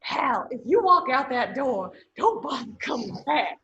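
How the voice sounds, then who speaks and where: A woman plays a protective, embittered former racer and parent in a drama table read. The voice is high and moves widely in pitch. The delivery is stern and weary over a video call. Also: sing-song, unhurried, bright, forceful tone, lo-fi sound, heavily acted. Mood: bitter. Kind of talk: table read of fan fiction script.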